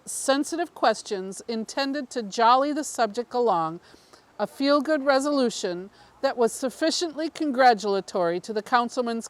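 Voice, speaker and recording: A woman reading a scripted story aloud as its narrator. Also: on the high side. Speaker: story reader.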